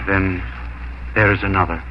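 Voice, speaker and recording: An actor playing an earnest, bashful farmer, formal and plain-spoken, in 1950s radio drama style. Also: male voice, low-pitched, flat delivery, moderate pace, close to an everyday voice. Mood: disappointed, resigned. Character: earnest, bashful farmer courting a young woman. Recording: noisy, muffled 1950s radio recording.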